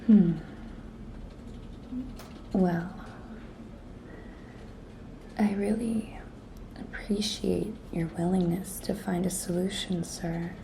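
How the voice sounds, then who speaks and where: Slow, whispery, flirty office intern played by a woman. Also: medium pitch, mellow, slight background noise, low-quality recording. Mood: teasing, bargaining. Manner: breathy, coy, slow and deliberate, often dropping to a whisper. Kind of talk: whispered ASMR roleplay.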